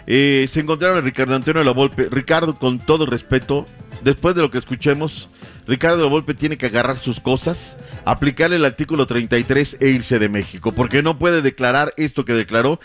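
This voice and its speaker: A man's voice: mid-range voice, brisk, faint music behind. A man hosting an opinionated sports discussion show, speaking emphatically and rhetorically with formal turns of phrase. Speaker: sports talk show host.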